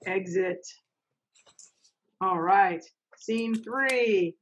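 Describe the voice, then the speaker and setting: Middle-aged woman, stage-direction narration, medium pitch, lo-fi home video call. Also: very slow, a little hiss or hum. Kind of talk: reading stage directions in an amateur online readers' theater.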